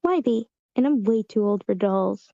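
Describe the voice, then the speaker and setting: A woman's voice: mid-range voice, moderate pace, vocal fry, mellow, no echo, natural voice. A woman voicing a bored, pestering, defiant young girl in an amateur screenplay table read, on a slightly dull online call. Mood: sulky. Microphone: video call.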